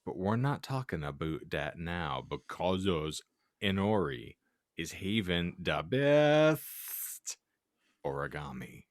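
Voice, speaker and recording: A man's voice: low-pitched, sing-song, slow pace, no room sound, studio quality. A man giving a comic dramatic reading of badly written fiction as a podcast co-host, tripping over words and breaking into laughter. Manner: stumbles over misspelled text, breaks into laughter.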